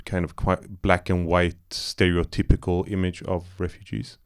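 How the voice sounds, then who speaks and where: A man's voice: sits low, flat delivery, normal speed, clear, resonant tone. A man from Iran, a film director, talking loosely in a post-screening Q&A, searching for words with many fillers and restarts. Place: cinema auditorium.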